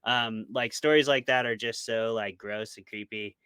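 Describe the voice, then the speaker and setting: A man's voice: fairly low pitch, fairly even intonation, moderate pace, pushed and bright, clear, resonant tone, no echo, studio quality. An American man chatting casually and informally as an interview guest, giving his opinions with some hedging. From small rural town in United States. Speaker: guest, author and podcast co-host.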